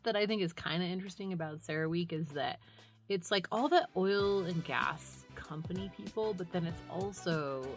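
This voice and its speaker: A woman with a slightly low voice chats casually as a podcast co-host. She is animated and sarcastic, talking irreverently and without polish, with faint music in the recording. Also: moderate pace.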